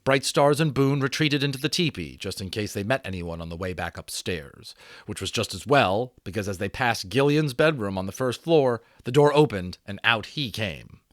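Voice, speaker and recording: A man giving a dramatic audiobook reading, expressive and full of theatrical pauses. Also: lowish voice, dry recording. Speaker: storyteller. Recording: dry close recording.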